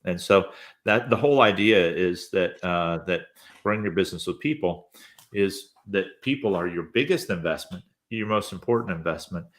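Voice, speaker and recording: A man's voice: sits low, normal speed, a bit bright. A man in his fifties, a guest telling his career story in an easygoing, rambling way with lots of hesitant fillers. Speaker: podcast host, interview guest.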